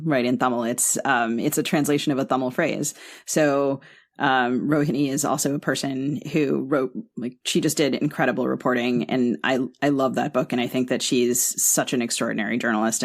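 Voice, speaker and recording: A woman's voice: very deep voice, vocal fry. A woman speaking as an author in an interview, giving articulate, carefully qualified answers with emphatic repetition. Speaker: author, podcast guest. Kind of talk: thoughtful conversational interview answers.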